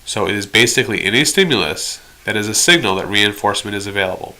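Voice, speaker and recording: A man delivers an explanatory training lecture in a slightly low voice with a very sharp, bright edge. He is direct and instructional, working through examples. He drops in conversational asides as he teaches. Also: lively intonation, quick pace. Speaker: instructor in behavior therapy training.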